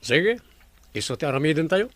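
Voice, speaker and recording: A man's voice: a little high, very animated, normal speed, a bit bright, dry recording. A man playing a confident resort boss who talks over plans in an Ilocano radio comedy drama. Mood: brisk, assured. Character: confident male resort boss planning improvements.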